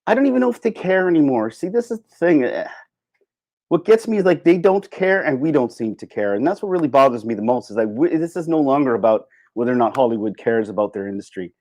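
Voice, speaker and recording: A man giving a casual, opinionated livestream monologue in an animated, sardonic way. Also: medium pitch, fast talker. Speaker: livestream host.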